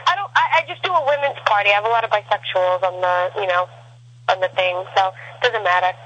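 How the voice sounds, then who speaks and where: A woman in her thirties chatting with a friend on a show, teasing and quick to laugh. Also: medium pitch, a bit bright, husky, no room sound, dull audio. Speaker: co-host friend. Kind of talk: casual chat.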